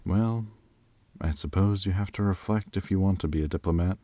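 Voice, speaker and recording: Man acting as very deep-voiced polite diplomat candidate. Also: normal speed, dark tone, no room sound, natural voice. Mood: reflective. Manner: courteous, thoughtful. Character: polite, reflective, charming male diplomat candidate. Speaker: job candidate. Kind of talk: audio drama acting, conversational interview answers.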